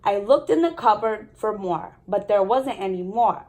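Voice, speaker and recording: A woman reading a children's story aloud in an expressive storytelling manner. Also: mid-range voice, moderate pace. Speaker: storybook reader. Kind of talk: children's story read-aloud with brief commentary.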